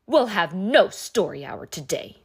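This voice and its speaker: Nasty substitute teacher for a storybook, played by a woman: stern, witchy, clipped commands, slow and deliberate. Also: on the low side, sing-song, gravelly, husky, full character voice. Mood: stern, snappish. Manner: hissing, snapping commands.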